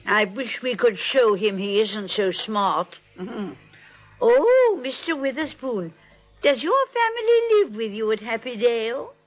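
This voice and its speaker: An actress playing a genteel, cheerfully dotty old maiden aunt with gentle, polite matter-of-factness in a 1940s radio drama style. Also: female voice, on the high side, very animated, unhurried. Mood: politely solicitous. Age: older. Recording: muffled vintage 1940s drama recording.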